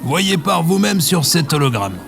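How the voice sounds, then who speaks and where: Guy playing a stiff unsure space crewman, gravelly fry, sci-fi audio drama, music underneath. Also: a little high, sing-song, a little slow, heavily acted. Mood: nervous, formal. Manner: formal, hesitant. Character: formal, hesitant male spaceship crew subordinate. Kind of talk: scripted science fiction audio acting.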